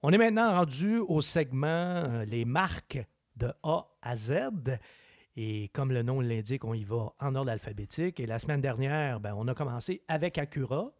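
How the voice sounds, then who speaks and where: A man with a Québécois French accent, a car expert chatting on a radio show in an opinionated, animated way. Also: medium pitch, moderate pace, no echo, studio quality. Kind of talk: casual chat.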